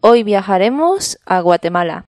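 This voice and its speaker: A woman's voice: mid-range voice, sing-song, normal speed, no room sound, studio quality. A woman with a Peninsular Spanish accent hosting a language-learning podcast, an engaged interviewer who backs her guest with frequent murmurs of assent. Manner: engaged interviewer, frequent "ujú" backchannels and soft laughs. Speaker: podcast host.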